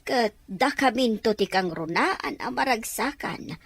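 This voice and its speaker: A woman playing a doting, hopeful middle-aged aunt in an Ilocano audio drama, with a husky voice. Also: fairly high pitch, lively intonation, a little slow, no room sound, heavily acted. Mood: affectionate, encouraging.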